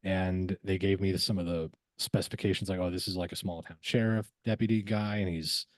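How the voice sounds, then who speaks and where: Male podcast guest, low pitch, very dry close sound, halting and reflective casual delivery. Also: flat delivery, no room sound. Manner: halting, reflective, repeats words. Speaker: voice actor guest.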